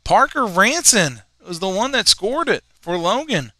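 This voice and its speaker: A man in his twenties calling a high school soccer game, chatting in rambling asides between plays. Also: big pitch swings, a little slow, pushed and bright, no echo. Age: 20s. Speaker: play-by-play commentator.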